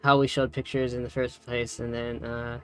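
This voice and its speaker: A woman's voice: a bass voice, little pitch movement, normal speed, fairly bright tone. A woman talks casually as a tutorial co-host who is still learning. Her delivery is easygoing and a little hesitant, and faint music plays behind her. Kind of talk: casual chat, tutorial recap.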